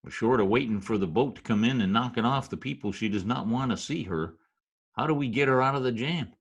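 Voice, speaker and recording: A man's voice: medium pitch, brisk, husky. A man playing a wry, skeptical Broadway wise guy in a 1940s-style radio drama, wisecracking in stiffly formal slang. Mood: skeptical, sardonic. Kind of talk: old-time radio drama, present-tense slangy narration and dialogue. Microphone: video call recording.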